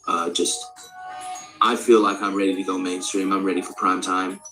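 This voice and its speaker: A male speaker of around forty from Australia, practising an American accent in a rambling, halting, candid and sweary casual monologue. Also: deep voice, flat delivery, bright, forceful tone, faint music behind. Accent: American, put on over native Australian. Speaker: actor introducing themself.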